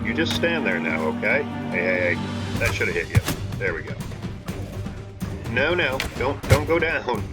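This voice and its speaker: Low-voiced excitable gaming commentator. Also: male voice. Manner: excitable, talks to viewers, shouts in fights. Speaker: gaming channel host. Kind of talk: live gameplay commentary. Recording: game music behind voice.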